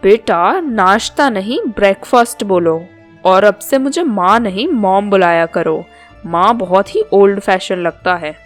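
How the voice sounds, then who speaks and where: A woman narrator acts out an older mother-in-law from a small town in a storybook tale. She is chatty and proud, insisting on being modern. Soft music plays behind her. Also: medium pitch, a little slow, natural voice. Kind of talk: Hindi storybook cartoon dialogue.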